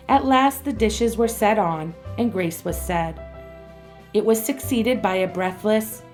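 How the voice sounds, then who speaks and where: Steady woman narrating a holiday radio play. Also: mid-range voice.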